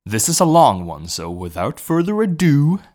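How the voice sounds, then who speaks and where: A man's voice: fairly high pitch, very animated, moderate pace, a little mellow. A man from Sweden hosting an interview podcast, who opens the episode and then keeps the talk going with short prompts and murmurs. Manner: brief encouraging backchannels. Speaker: podcast host. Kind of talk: casual interview conversation.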